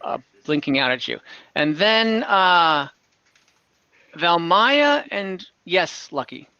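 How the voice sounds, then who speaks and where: Game master on an online dnd call, super lively pitch, slow pace, kinda muffled dry audio, thinks out loud. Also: clear, resonant tone, no room sound. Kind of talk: running an online tabletop fantasy game, improvised narration.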